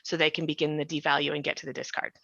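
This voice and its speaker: Low-voiced woman explaining, slightly dull recording. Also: flat delivery, rapid-fire, gravelly, bright, forceful tone, no room sound. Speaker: writer and podcast host. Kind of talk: explanatory discussion.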